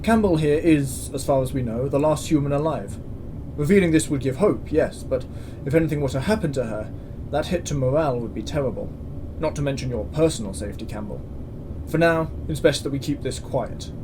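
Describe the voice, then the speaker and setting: A man acting as a stern commandant running a space station in a sci-fi audio drama. He speaks in a measured, formal way at a middle pitch, with dry authority. Clear background ambience sits behind him. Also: expressive, background noise, natural voice. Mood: measured, grave.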